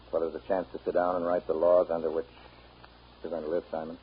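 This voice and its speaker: Deep-voiced, fatherly American storyteller, played by a man. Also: monotone, fast talker, slight background noise, close to an everyday voice. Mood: fatherly, earnest. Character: plain-spoken American man, fatherly storyteller teaching a boy. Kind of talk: 1930s radio drama acting. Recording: muffled 1930s radio recording with music.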